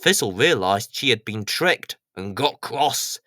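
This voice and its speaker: A man's voice: medium pitch, expressive, measured pace, sharp tone, no echo, studio quality. A man narrating a scripted children's story as storyteller.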